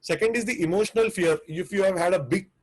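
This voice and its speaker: A man's voice: sits high, fast talker. A man with an Indian English accent, teaching a live online class on restaurant business, earnest and prone to repeating himself. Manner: earnest, repetitive, restarts phrases.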